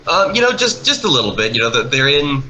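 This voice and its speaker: Very bright-voiced man, rambling casually. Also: mid-range voice, sing-song, low-quality recording. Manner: rambling, halting. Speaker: animation project producer. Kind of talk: casual chat over a speedpaint. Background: music.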